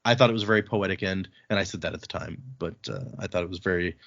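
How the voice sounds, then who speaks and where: A man's voice: sits low, racing, gravelly, a bit bright, dull audio. A man from Texas hosting a podcast, chatting casually and reading out listener mail. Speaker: podcast host.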